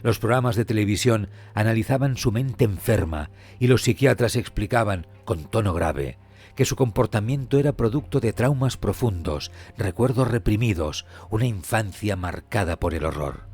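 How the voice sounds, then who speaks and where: A man delivering scripted true crime narration in a solemn, measured, suspenseful manner. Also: fairly low pitch, expressive, a little mellow, slightly rough. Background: music.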